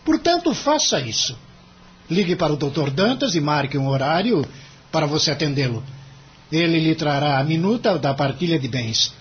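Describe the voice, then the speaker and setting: A man's voice: a little high, very animated, normal speed, muffled recording, slight background noise. An actor playing a stern, impatient senior lawyer in an audio drama, formal, curt and condescending with his junior. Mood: impatient, reprimanding. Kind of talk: radio drama acting.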